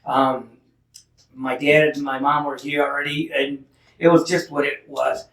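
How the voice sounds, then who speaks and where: A man's voice: mid-range voice, level tone, a little slow, creaky, fairly bright tone. Chatty man telling stories, roomy distant sound. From Holland, Michigan. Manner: chatty, laughs often, thanks repeatedly. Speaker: guest musician. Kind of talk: casual interview storytelling.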